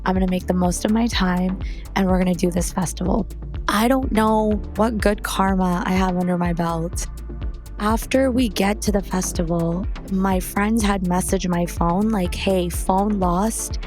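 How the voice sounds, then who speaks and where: A young woman hosting a podcast, telling a story in a chatty, animated way and then welcoming a guest. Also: medium pitch. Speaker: podcast host. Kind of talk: casual storytelling and interview chat.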